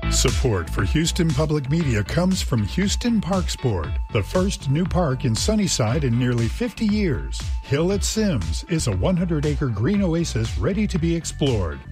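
Man announcer, sponsor reads, bright lively intonation, slightly high pitch, faint music behind. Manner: brisk announcer read. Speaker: radio announcer.

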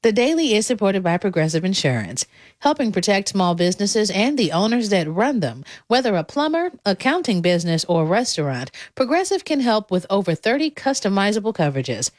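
A woman's voice: medium pitch, big pitch swings, brisk, no echo. A woman announcer reading sponsor messages in an upbeat promotional style. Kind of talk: sponsor read.

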